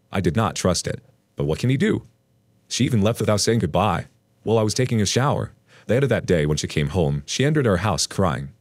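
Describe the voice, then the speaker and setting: A man reading an online post aloud as a storytelling narrator, in an expressive read. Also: lowish voice, no echo.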